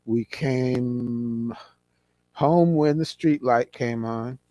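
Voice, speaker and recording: A man's voice: medium pitch, drawn-out words, dark tone, no echo. A man in his sixties, from California, reminiscing as an interview guest in a rambling way, with many restarts. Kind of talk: casual conversation.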